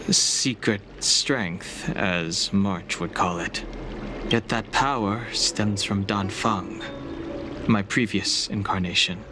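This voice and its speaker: A reserved, solemn young hero. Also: male voice, lowish voice. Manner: quiet, reserved, sober. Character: solemn young man facing his past. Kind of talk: video game voice acting. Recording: game music underneath. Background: game music.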